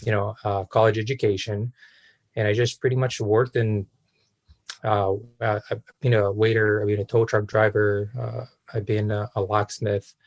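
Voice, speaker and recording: An Israeli-accented man in his thirties talks as an interview guest in a lowish, fairly flat voice. He speaks casually, in short halting phrases broken by frequent pauses. The sound is dry and close, with no room echo. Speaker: podcast guest, real estate entrepreneur.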